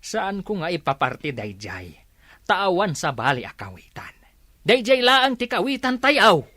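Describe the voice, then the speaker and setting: A woman playing an excitable, grumbling villager in an Ilocano comedy radio drama. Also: lowish voice, a little slow, no room sound, studio quality, full character voice. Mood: indignant.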